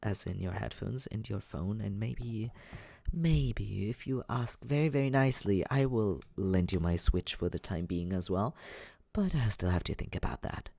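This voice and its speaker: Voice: deep voice, normal speed, creaky, dark tone, no echo. An actor voicing a teasing but caring young companion in an intimate, conversational ASMR comfort roleplay, hesitant and reassuring. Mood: thoughtful.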